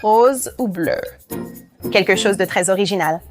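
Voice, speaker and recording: A woman's voice: medium pitch, a little slow, close to an everyday voice. A woman voicing a bride-to-be over music. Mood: eager. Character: young engineer bride wanting something original. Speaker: bride-to-be in a reality show. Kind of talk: dubbed reality show testimonial and chat. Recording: music playing under the voice.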